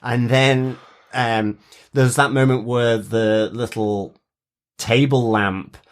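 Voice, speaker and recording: A man co-hosting a podcast in a casual discussion of TV, speaking in a halting but emphatic way. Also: mid-range voice, steady tone. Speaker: podcast co-host.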